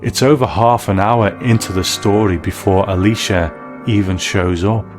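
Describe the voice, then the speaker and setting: A man with a very low voice presents a scripted documentary review. He is the host, narrating with soft music behind him. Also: steady tone, normal speed.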